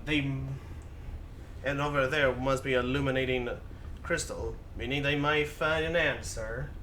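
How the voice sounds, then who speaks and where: A man narrating a game's story off the cuff as commentary, halting and repeating his phrases. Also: mid-range voice, fairly even intonation, sharp tone, slight background noise. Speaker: gaming video narrator. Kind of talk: improvised game story commentary.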